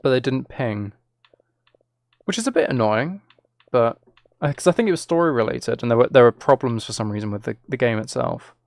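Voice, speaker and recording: A man's voice: medium pitch, expressive, brisk, creaky, no room sound. A man streaming a video game, giving casual commentary with dry, flippant, rambling asides.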